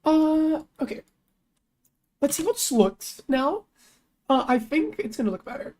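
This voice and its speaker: A young woman streamer chatting casually as she works, thinking aloud, her voice lower than usual today, with bursts of high excitement. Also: unhurried. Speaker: streamer and artist. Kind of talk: casual live-stream chat while working.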